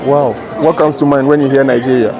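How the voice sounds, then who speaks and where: A man's voice: mid-range voice, fairly even intonation, fairly quick, muffled recording. A Ghanaian man hosting a casual, teasing street interview. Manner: upbeat, teasing questions. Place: busy city street outdoors. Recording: loud street crowd and music.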